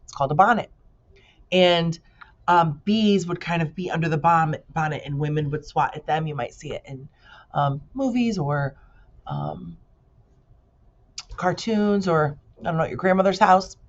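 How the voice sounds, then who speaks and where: A woman reading a children's book aloud like a teacher to pupils, with expressive narration and casual explanatory asides. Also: low voice, moderate pace, pauses often, lo-fi sound.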